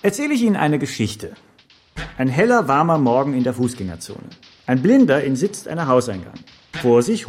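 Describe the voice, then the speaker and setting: An actor playing an earnest pitch trainer who lectures didactically and tells motivational parables with rhetorical questions. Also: male voice, darkish tone, lightly acted. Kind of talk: seminar presentation and storytelling.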